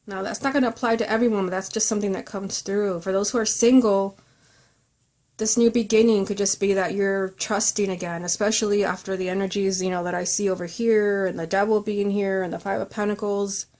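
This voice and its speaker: A woman's voice: medium pitch, steady tone, fairly quick, darkish tone. A woman reading tarot cards in an informal, reflective way, speaking earnestly and directly to the listener. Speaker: tarot reader.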